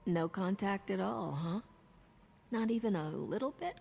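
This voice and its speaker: An actress playing a confident, tough heroine in a video game, cool and self-assured with a dry delivery. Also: female voice, medium pitch, a little slow, vocal fry, a little mellow, breathy edge. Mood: teasing. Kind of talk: cinematic video game acting.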